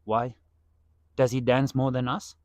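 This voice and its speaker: A man's voice: mid-range voice, little pitch movement, a little slow, a little mellow, no echo. A man whose first language is Afrikaans, talking as a podcast guest in a casual, earnest and playful way. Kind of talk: casual chat.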